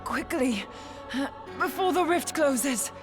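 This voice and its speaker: An actress playing an earnest heroine in a scripted fantasy video game. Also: female voice, sits high, expressive, slow pace, music in the background. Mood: urgent.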